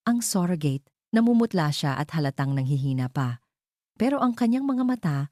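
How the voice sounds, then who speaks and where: A woman's voice: deep voice, mellow, no echo, studio quality. A woman with a Filipino accent narrating a scripted dramatic story as a storyteller.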